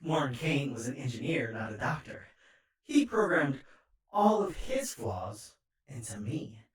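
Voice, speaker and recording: A man's voice: mid-range voice, very animated, a little slow. A man playing a smug, murderous artificial intelligence in an audio drama, chatty and taunting, with an echoing machine treatment. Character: smug rogue station AI, gleefully murderous, theatrical. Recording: echoing, distant-sounding.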